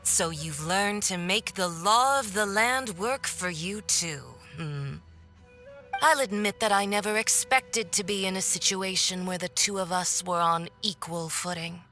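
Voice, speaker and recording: Haughty, slow-drawling villainess. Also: female voice, medium pitch, sharp tone, soft background music. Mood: mocking. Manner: condescending, theatrical, drawling. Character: haughty, mocking female villain, diplomat of a foreign power. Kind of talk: video game cutscene voice acting.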